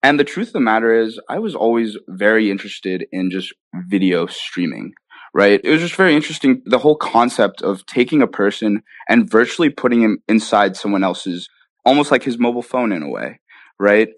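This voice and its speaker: Low-voiced man explaining in an interview. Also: lively intonation, brisk, no room sound. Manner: conversational, punctuates points with "right?" and "you know". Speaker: guest, app founder being interviewed.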